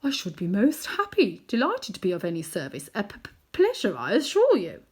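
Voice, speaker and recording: A woman reading aloud, voicing a nervous, fawning old gentleman magician who stammers and gasps. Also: mid-range voice, huge pitch swings, fairly quick, slightly rough. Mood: flustered fawning. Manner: stammering, gasping, obsequious.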